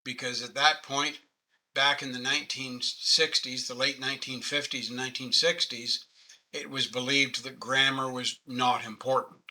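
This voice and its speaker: An older Canadian man in his late sixties leads a webinar over a webcam call. His voice is very bright and clear. He talks in a patient, easygoing way and pokes fun at himself. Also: medium pitch, level tone, moderate pace, rough recording. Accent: Canadian English. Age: 60s. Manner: patient, conversational, self-deprecating. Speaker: webinar presenter. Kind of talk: casual online teaching. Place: home office. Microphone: webcam video call.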